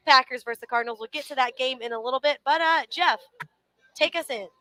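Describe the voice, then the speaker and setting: A young woman co-hosting a casual sports show. She leads the segments in an animated way and often stumbles over her words. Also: high voice, fast, no room sound.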